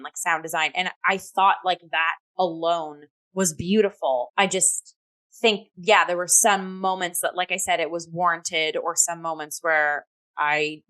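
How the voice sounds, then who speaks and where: Female talk co-host, slightly low register, effusive and halting, conversational reviewer. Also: fairly bright tone. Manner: effusive, halting, heavy use of "like". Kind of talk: casual review chat.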